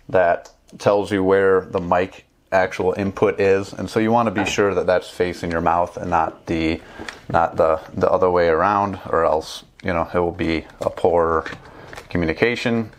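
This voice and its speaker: Deep-voiced guy in his 30s walking thru a gear install, loose and chatty, bit of hiss behind. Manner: relaxed, chatty, many fillers and restarts. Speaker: motorcycle travel vlogger. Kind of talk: casual how-to demonstration. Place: small home room with bookshelves and desk.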